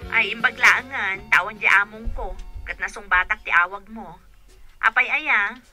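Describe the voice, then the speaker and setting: A woman's voice: medium pitch, moderate pace, bright, forceful tone, soft background music. A woman playing an emotional, generous older sister working abroad in a radio drama, with an Ilocano accent. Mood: resigned.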